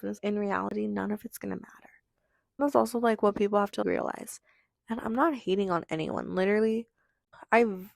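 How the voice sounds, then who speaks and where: Hoarse, gravelly teenage girl podcaster. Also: mid-range voice, darkish tone, no room sound, studio quality. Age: teenager in high school. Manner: rambling, chatty, heavy on "like". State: hoarse, losing her voice. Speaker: podcast host. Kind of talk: casual confessional monologue.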